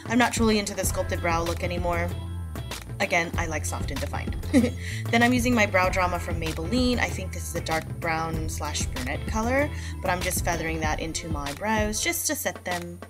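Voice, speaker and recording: A woman's voice: on the low side, animated, normal speed, over music. Stuffy-nosed young woman chatting makeup. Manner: chatty, slangy, full of fillers and asides. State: stuffy nose from a cold. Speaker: beauty vlogger and makeup artist. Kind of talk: casual chatty makeup tutorial.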